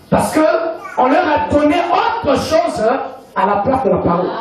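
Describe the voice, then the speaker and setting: A high-pitched man from Congo delivers an emphatic sermon, repeating his points as a congregation answers back. The sound comes from a distance, in a large echoing hall. Voices of the crowd are clearly audible behind him. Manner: emphatic preaching with repetition and call-and-response. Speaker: pastor. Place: church hall. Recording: echoey hall, mic from far off, congregation audible. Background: congregation responding amen.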